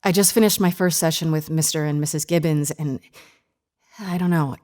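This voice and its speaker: A woman's voice: low voice, steady tone, mellow, close to an everyday voice. A woman playing an anxious, earnest grief counselor in an audio drama, speaking in a hesitant, insistent way. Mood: anxious, urgent. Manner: hesitant, halting, earnest pleading.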